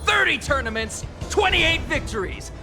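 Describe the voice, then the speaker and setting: An actor playing a bombastic, excitable fight announcer in a video game, calling out a champion. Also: sits high, moderate pace, over music. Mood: bombastic, excited. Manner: bombastic, shouting.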